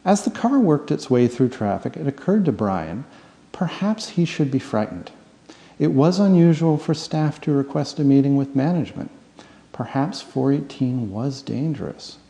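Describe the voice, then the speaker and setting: A man reading his own fiction aloud as an author, with dramatic, expressive storytelling in an audiobook-style narration. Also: mid-range voice, moderate pace, vocal fry. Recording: long reverberant hall-like echo.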